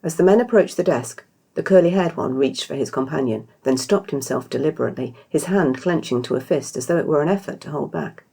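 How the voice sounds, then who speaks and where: A low-voiced British woman reads a story as its author. Her delivery is scripted narration, with a gravelly creak running through the deep register. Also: a little fast, dark tone. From Britain. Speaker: author reading own story.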